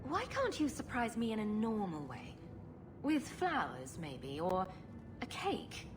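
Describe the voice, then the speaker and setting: An actress playing a witty, sarcastic rogue adventurer in a video game, with a wry manner. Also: female voice, mid-range voice, huge pitch swings, slow pace, noisy background.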